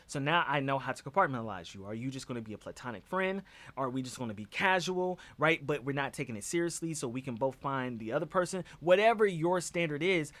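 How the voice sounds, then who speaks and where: A man's voice: a little high, a bit bright. A man hosting a livestream discussion, talking emphatically and repeating words for stress.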